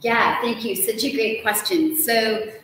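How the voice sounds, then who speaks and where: A middle-aged American woman, an author being interviewed, chats casually and tells stories in an animated, playful way. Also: fairly high pitch, a little slow. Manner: animated storytelling, quoting people with playful asides. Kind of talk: lively casual conversation. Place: large hotel sitting room, live stream. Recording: echoey room from a distance, noisy.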